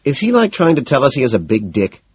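A flippant young yuppie man voiced by a male narrator in an audiobook. He sounds breezy and slangy, pitched up a little, on a dry, slightly dull recording. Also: wildly swooping pitch, fast talker, slightly rough, no echo. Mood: gleeful.

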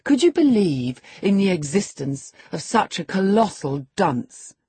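A man's voice: high voice, big pitch swings, a little slow, warm tone, husky, dry recording, voice pushed up, exaggerated voice. A man voicing a sickly, sneering teenage boy who taunts in a raised, giggly voice. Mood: taunting.

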